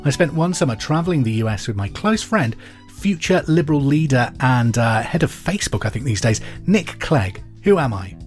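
Male quiz host, reads questions twice, clear delivery, faint music under. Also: medium pitch, very animated, normal speed. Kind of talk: pub quiz podcast hosting.

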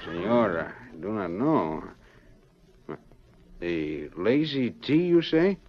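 An actor playing an amiable, drowsy Mexican border patrol captain with halting, exclaiming speech in an old radio western. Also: male voice, lowish voice, big pitch swings, heavily acted. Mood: thoughtful, helpful. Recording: muffled old radio recording.

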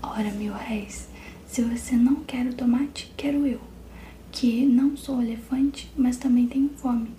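A woman's voice: on the high side, fairly even intonation, measured pace, warm tone, echoey room, a little hiss or hum, natural voice. A woman whispering the lines of a worried young boy on the run in a storybook reading. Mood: earnest.

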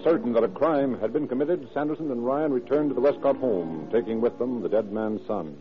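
An actor with a measured, terse, businesslike delivery, playing police narration and detective work in a 1940s radio crime drama. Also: male voice, mid-range voice, dull audio, natural voice. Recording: band-limited old radio, music behind.

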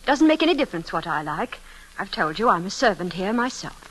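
An actress playing a guarded, resentful young niece and paid companion, cool and precise, in old-time radio drama style. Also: female voice, medium pitch, sing-song, fairly quick, fairly bright tone, rough recording. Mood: cool, wary. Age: young adult. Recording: vintage radio broadcast recording.

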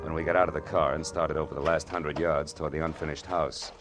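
An American actor playing a wry, slangy private detective in a hard-boiled 1940s radio drama style. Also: male voice, very deep voice, steady tone, fast, music in the background. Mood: wry narration. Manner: sardonic, slangy, laconic. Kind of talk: 1940s radio acting, noir first-person narration.

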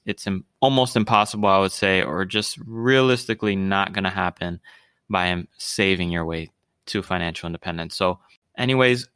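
A young man hosts a casual podcast, his voice low and smooth. He talks easily, repeating words and restarting sentences as he goes. The sound is dry and close, with no room around it. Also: fairly bright tone, dry recording. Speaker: podcast host.

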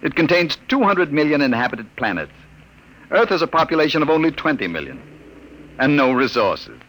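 An actor playing a gentle, earnest archaeologist from off-world in an old radio drama. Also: male voice, a little high, very animated, normal speed, exaggerated voice, rough recording. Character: calm off-world male archaeologist. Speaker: archaeologist narrator. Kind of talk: 1950s radio drama acting. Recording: old radio broadcast with noise.

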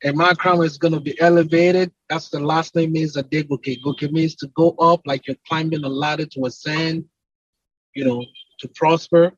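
A man's voice: fairly high pitch, steady tone, normal speed, breathy edge, dry recording, dull audio. A Nigerian-accented man speaking as an entrepreneur in a conversational interview, explaining at length with emphatic, repeated lists. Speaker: entrepreneur and company CEO, podcast guest.

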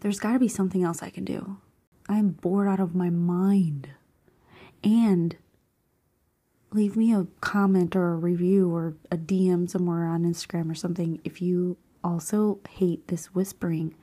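A woman vlogging in a hushed half-whisper, slightly hoarse, reacting to quiz questions with bursts of excited disbelief. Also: fairly low pitch, moderate pace. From Cuban family background. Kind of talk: casual chat, reading quiz questions aloud.

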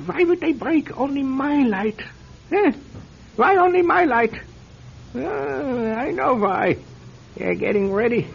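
A paranoid old recluse, pitched very high for a man. He stammers and repeats himself in agitated pleas, in old radio drama style. The recording is dull and muffled, with faint noise. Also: animated, slow pace, creaky, pauses often, exaggerated voice. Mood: paranoid muttering. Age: older.

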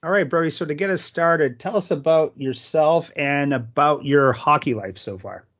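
A man's voice: on the high side, normal speed, a bit bright, dull audio. A man co-hosting a hockey podcast, chatty and rambling as he tells stories. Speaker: podcast co-host. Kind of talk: casual hockey podcast chat.

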